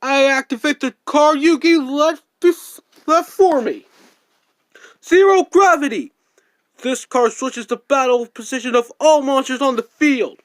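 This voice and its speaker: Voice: high voice, a little slow, no echo. Theatrical fan-dub voice, dry and close. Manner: theatrical, declaiming. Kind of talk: amateur anime-style fan dub of a card game duel.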